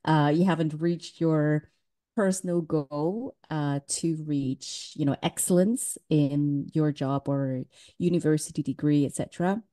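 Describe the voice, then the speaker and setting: A woman's voice: low voice, unhurried, warm tone. A woman raised in Switzerland, talking candidly as a podcast guest and laughing easily. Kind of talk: casual interview talk.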